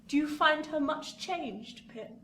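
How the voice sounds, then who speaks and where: Shrill, imperious old spinster. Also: female voice, takes it slowly, bright, forceful tone, exaggerated voice. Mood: taunting. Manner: commanding, intense, theatrical. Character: bitter, obsessive, imperious old rich spinster. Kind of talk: radio play acting. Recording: echoey room, distant mic, faint noise.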